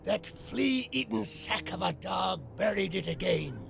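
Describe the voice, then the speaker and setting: Gravelly, high-pitched pirate. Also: male voice, animated, takes it slowly, music in the background, full character voice. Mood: gruff, bargaining. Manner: old-fashioned pirate dialect. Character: scruffy, bargaining pirate castaway on a cursed island. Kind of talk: video game character acting.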